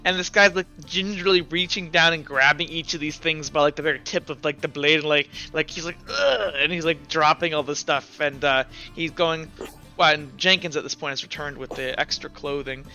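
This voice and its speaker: A man's voice: on the high side, steady tone, brisk, bright, forceful tone, soft background music. A man narrating casually as game master of a tabletop game, in a rambling, conversational way. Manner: rambling, leans on "like" and "kinda".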